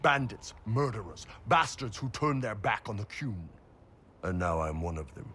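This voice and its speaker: An actor playing a big, gruff horned mercenary warrior, blunt and wry, in a video game cutscene. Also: male voice, fairly low pitch, animated, normal speed, sharp tone, a little hiss or hum. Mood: bitter. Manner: blunt, wry, swearing soldier.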